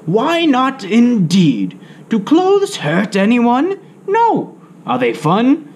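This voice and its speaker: A man's voice: very high voice, wildly swooping pitch, extremely slow, darkish tone, pitched above the performer's own voice, full character voice. A man voicing a wise, heroic old grandpa mole rat from a storybook, pompous and proclaiming. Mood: grand, solemn.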